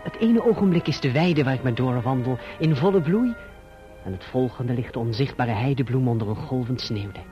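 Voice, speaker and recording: An actor playing a courteous, earnest young gentleman in love in a radio drama, who also narrates reflectively. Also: mid-range voice, a little fast, faint music behind, natural voice. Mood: reflective, lyrical. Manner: polite, earnest, expressive. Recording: muffled, dull old radio recording.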